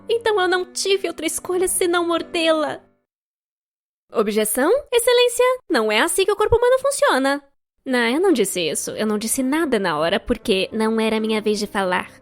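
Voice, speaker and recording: A Brazilian woman with a high-pitched voice tells a scripted storytime tale. Her delivery is expressive and theatrical with a sarcastic edge, and faint music plays underneath. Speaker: narrator. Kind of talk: scripted animated storytime narration, fan dub.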